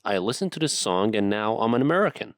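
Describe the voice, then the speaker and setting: Iranian male host, Persian native, low voice, dry studio re-record. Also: sing-song, quick pace, dry recording. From Iran. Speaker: English teacher and host. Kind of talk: casual interview.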